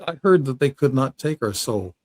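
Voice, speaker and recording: A man hosting an interview show, chatting casually and putting questions to his guest in a tentative, halting way. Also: mid-range voice, lively intonation, darkish tone.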